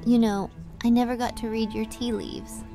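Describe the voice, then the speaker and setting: A woman playing a gentle, trusting young tea-leaf reader in a fantasy audio drama, speaking softly, often near a whisper. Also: on the high side, little pitch movement, moderate pace, gravelly, music in the background. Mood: playful. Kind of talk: immersive fantasy roleplay audiobook acting.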